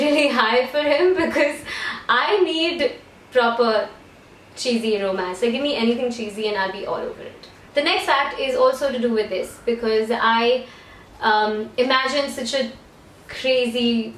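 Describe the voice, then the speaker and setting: A woman's voice: fairly high pitch, fairly bright tone, distant mic, slight background noise. A young woman from India chatting casually to camera in a room at home, rambling and self-deprecating. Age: young adult. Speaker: beauty YouTuber. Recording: roomy echo, voice a bit off-mic.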